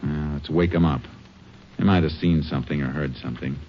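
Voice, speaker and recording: An actor playing a steady, determined Texas Ranger in a 1950s radio drama, terse and matter-of-fact, with an American accent. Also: male voice, extremely low pitch, brisk, noisy background. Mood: grim, focused. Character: steady, determined male Texas Ranger, narrating his case. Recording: muffled old radio recording, loud music.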